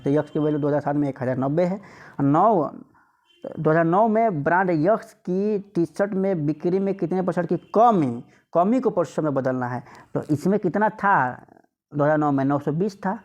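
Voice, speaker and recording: A man's voice: a little high, a little mellow. A man teaching an informal exam lesson, repeating phrases and putting rhetorical questions to students. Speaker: maths exam coach.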